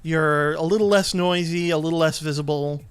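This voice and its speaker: A man hosts a casual gameplay commentary. His voice is fairly high and very clear, recorded close and dry. He explains things in a halting, conversational way. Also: steady tone, no echo. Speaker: let's play host and player.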